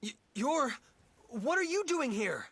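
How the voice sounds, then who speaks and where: A man's voice: very high voice, very animated, fairly bright tone, breathy edge, no room sound, full character voice. An actor playing an earnest, troubled young male hero in a video game, speaking hesitantly. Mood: wary. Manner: hesitant, halting. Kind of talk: video game cutscene acting.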